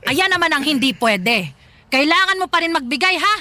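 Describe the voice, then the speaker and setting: A woman's voice: high-pitched, sing-song, normal speed, pushed and bright, dry recording, heavily acted. A woman acting a harsh, domineering older mother in a radio drama, scolding and mocking. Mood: angry scolding.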